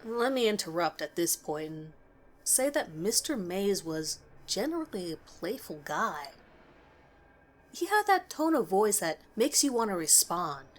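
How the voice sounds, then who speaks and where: A woman's voice: mid-range voice, sing-song, measured pace. A woman reading a scripted horror story as its narrator.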